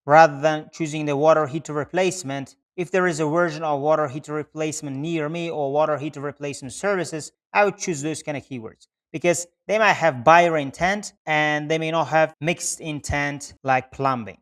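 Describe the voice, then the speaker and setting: A man's voice: fairly high pitch, normal speed, hardly any pauses, fairly bright tone. A man explaining things step by step as an instructor, in a methodical screen-share tutorial style with repeated phrasing. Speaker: instructor, Google Ads specialist.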